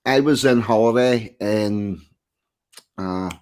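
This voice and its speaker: A man's voice: lowish voice, slow pace, a little mellow, clean tone. A man with a broad Belfast accent chatting casually, blunt and fond of telling stories, with some swearing. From Northern Ireland. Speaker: host. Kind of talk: casual chat.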